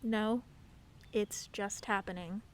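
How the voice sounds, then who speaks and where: An actress playing a brooding, curt teenage daughter in a radio play, with inner monologue. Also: female voice, medium pitch, fairly even intonation, takes it slowly, close to an everyday voice. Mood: sulky. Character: sullen teenage daughter, moody and blunt.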